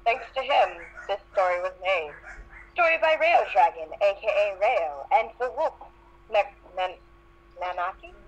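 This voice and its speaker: A woman's voice: mid-range voice, expressive, unhurried, highs cut off, slight background noise. A woman reading fan fiction aloud in an amateur group session.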